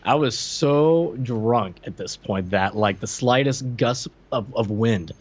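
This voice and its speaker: A man's voice: medium pitch, slow pace. A man co-hosting a podcast in casual, hesitant, self-deprecating chat, on a dull lo-fi voice track. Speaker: podcast co-host.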